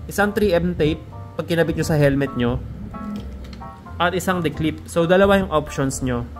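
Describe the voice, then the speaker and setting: A man's voice: fairly high pitch, normal speed, over music. A Filipino man talking casually to viewers in a conversational product review vlog, as a motovlogger. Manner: conversational Taglish, addressing viewers.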